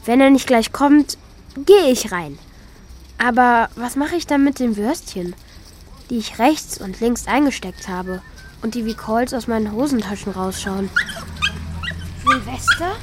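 A performer, heard as female, playing a curious, warm-hearted young child in a children's radio play. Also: on the high side, animated, measured pace, long pauses, a little hiss or hum. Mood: pensive narrating. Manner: earnest, questioning, childlike.

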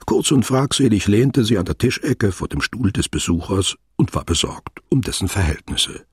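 A man acting as the sober, deliberate narrator of a literary radio play, speaking formally and in measured, wordy sentences. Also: lowish voice, very animated, breathy edge, no echo, clean studio sound, heavily acted.

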